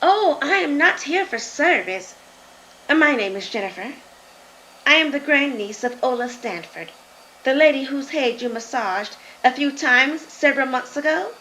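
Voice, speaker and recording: A gentle, polite woman of about thirty delivers careful news in a storybook tale, as the woman narrating puts her on. She is a touch brighter and fuller than the narration around her, in a high voice with an unhurried, earnest manner. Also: lively intonation, a little hiss or hum, full character voice, rough recording.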